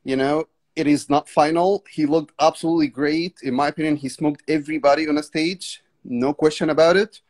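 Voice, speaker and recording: A man's voice: a little high, steady tone, a little fast, few pauses, darkish tone. A man from Egypt calling into a live video chat, polite and eager, answering with short agreements. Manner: polite, eager, short replies with many yeses. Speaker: caller. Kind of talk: casual call-in chat. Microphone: video call.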